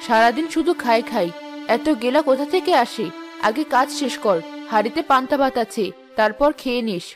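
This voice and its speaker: Lady doing a mean sarcastic auntie in a cartoon, highish voice, always scolding, music behind. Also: moderate pace, close to an everyday voice. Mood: scornful, bossy. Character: shrewish, scheming, cruel aunt bullying her orphaned niece. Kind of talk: cartoon melodrama dialogue. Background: background music.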